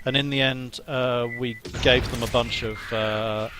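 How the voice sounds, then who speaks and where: A man, a game developer, chatting hesitantly on a live stream while playing, somewhat hoarse as he loses his voice. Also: mid-range voice, steady tone, measured pace, noisy background. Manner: hesitant, many ums and restarts. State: losing his voice, coughing. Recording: music playing behind.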